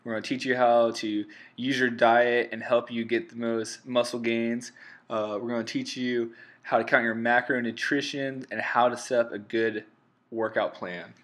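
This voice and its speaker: Young man, 20s, steady flat intonation, earnest co-host speaking to viewers. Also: mid-range voice, normal speed. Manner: earnest, upbeat, addresses viewers as guys. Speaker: channel co-host. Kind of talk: casual voice-over narration.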